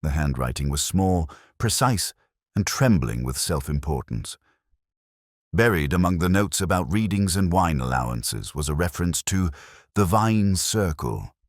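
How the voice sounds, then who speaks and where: A man narrating a scripted detective story as a brooding police inspector, in a measured, solemn, literary way. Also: low-pitched, lively intonation, dry recording, clean studio sound.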